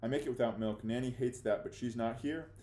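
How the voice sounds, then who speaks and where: A man speaking as a fifth-grade teacher giving a read-aloud lesson, halting and theatrically mock-serious in his delivery. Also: mid-range voice, mellow. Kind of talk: read-aloud lesson for children, reading a novel with commentary. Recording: echoey room.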